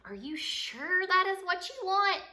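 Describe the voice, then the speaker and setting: Woman acting a baffled, bright-voiced storybook mom. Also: very high-pitched, animated, measured pace, pitched above the performer's own voice, exaggerated voice. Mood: baffled, doubtful. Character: patient but baffled storybook mother of a little girl. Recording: roomy, echoey sound.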